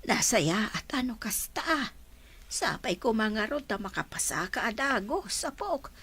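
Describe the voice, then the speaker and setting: Woman acting a lively, swooping Ilocano grandmother. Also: a little high, unhurried, husky, full character voice. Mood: fond, hopeful. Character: caring grandmother, hopeful and encouraging. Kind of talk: Ilocano radio drama acting.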